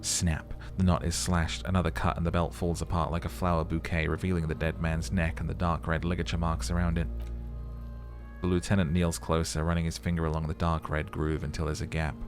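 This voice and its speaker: A man's voice: very deep voice, completely flat delivery, brisk, over music. A man hosting a let's-play, reading game text as a narrator and adding casual commentary.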